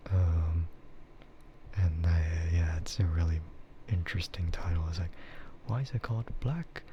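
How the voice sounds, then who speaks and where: A man with a low, soft voice chats in an easygoing ASMR style. He rambles hesitantly at a slow pace and often drops into a whisper. The audio is muffled, with clear noise behind him. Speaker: VTuber streamer. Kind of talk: soft ASMR casual chat.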